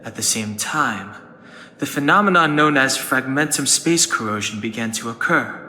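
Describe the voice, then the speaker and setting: A man's voice: mid-range voice, animated, normal speed, sharp tone. A man playing an earnest, level-headed interstellar traveler in a video game, explaining things seriously and articulately. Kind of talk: scripted video game voice acting. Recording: reverberant hall, faint noise.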